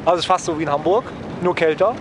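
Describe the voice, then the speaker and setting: A man's voice: fairly high pitch, very fast, noisy background. A man chatting casually to viewers as a travel vlogger, rambling and wryly joking, often tagging phrases with a questioning "ne?".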